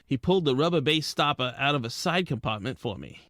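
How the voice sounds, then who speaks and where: A man's voice: mid-range voice, lively intonation, a little fast, a bit bright, natural voice. An actor playing a plucky, wisecracking penguin detective who tells his case in slangy hard-boiled style for a comic audio drama. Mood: narrating, wry. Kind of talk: scripted comic noir narration and dialogue.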